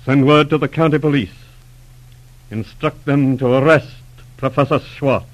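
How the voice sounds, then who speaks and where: An actor playing a proud, anguished aristocrat in an old radio drama, grave and halting in a theatrical way. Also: male voice, mid-range voice, muffled recording, natural voice. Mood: anguished, resolute. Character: proud, anguished lord torn between wife and country. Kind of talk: 1940s radio drama acting.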